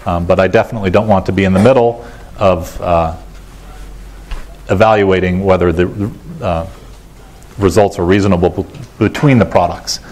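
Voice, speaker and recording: Low-pitched middle-aged American man presenting. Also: steady tone, moderate pace, long pauses, darkish tone. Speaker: conference keynote speaker. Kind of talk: technical conference presentation. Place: large lecture auditorium, indoors. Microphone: lapel mic.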